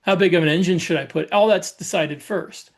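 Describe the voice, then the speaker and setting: A man's voice: sits high, a little fast. A man in his fifties co-hosting a podcast, explaining things casually as a working writer. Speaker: podcast co-host, fiction writer. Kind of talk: casual chat, explaining by analogy.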